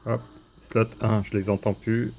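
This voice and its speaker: A man's voice: on the low side, little pitch movement, unhurried, gravelly, darkish tone. A man giving short asides while demonstrating a radio scanner. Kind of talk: casual commentary to camera.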